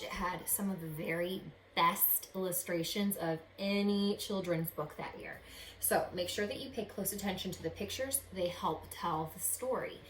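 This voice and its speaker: A woman reading a children's storybook aloud with dramatic expression, as if to young listeners. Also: mid-range voice. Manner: dramatic, pausing to ask young listeners questions. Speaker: teacher reading a picture book aloud to children. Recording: roomy echo, faint background noise.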